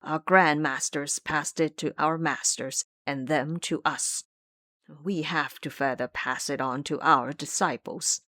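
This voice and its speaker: A woman's voice: sits low, fairly even intonation, a little slow, pushed and bright, no room sound, clean studio sound. A woman voicing a fearful, grumbling old male abbot in an audio drama, bossy and querulous with his underlings. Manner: querulous, scolding.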